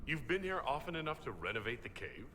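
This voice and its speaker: A man's voice: medium pitch, sing-song, fast talker, sharp tone. A man playing a wry, teasing dwarf companion in a video game, sardonic and familiar in manner. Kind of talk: video game character acting.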